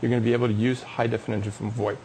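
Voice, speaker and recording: A man's voice: medium pitch, level tone, rapid-fire, mellow, a little hiss or hum, poor audio. A man being interviewed as a company executive on a live business TV show, explaining technology with emphatic enthusiasm.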